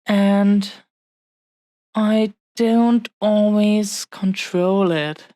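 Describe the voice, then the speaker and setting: Woman playing a slow-speaking, possessive dragon-blooded fiancée. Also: medium pitch, steady tone, mellow, no echo, studio quality. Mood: remorseful. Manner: earnest, halting, heartfelt delivery in short phrases. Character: possessive, adoring dragon-blooded young fiancée, tender and remorseful. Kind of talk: intimate scripted roleplay audio.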